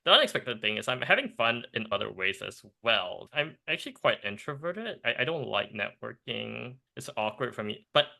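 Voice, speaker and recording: A young man from Singapore, a startup co-founder, talking in a casual podcast interview in animated bursts with restarts and laughs. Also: medium pitch, moderate pace, gravelly, hardly any pauses.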